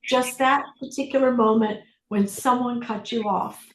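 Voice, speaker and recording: A woman facilitating an online group session, guiding people through questions in a calm, slow, deliberate way. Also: a little high, echoey room, poor audio. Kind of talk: guided inquiry on a group video call.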